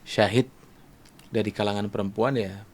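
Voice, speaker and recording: A man's voice: lowish voice, steady tone, moderate pace, a little mellow. A middle-aged man hosting a casual interview, chatting informally and checking in with tag words. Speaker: podcast host.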